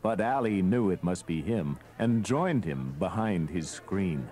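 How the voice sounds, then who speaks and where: A man's voice: sits low, big pitch swings, unhurried. A man narrating a read-along storybook in a scripted style. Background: loud music.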